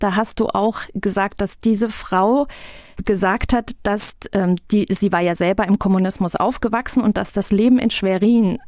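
A woman hosting a radio show, asking a guest calm, measured questions. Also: medium pitch, no echo, clean studio sound. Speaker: radio host.

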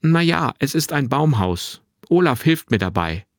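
A man voicing a confident, carefree boy of about twelve in a narrated story, with a clear, bright tone and a forthright manner. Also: mid-range voice, very animated, measured pace, no echo, clean studio sound, voice pushed up. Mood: eager, proud. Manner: forthright, unembarrassed, boyishly matter-of-fact. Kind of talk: acted dialogue in a narrated story.

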